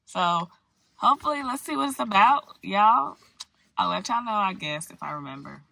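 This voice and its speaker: A woman's voice: mid-range voice, expressive, moderate pace, gravelly, sharp tone, low-quality recording. An American woman chatting casually to viewers as a vlogger. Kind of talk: casual vlog chat.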